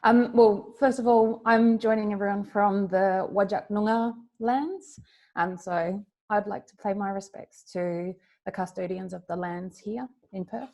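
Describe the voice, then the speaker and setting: Woman, mid-range pitch, startup founder, conversational explaining. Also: steady tone, unhurried, mellow. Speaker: startup founder, panel guest.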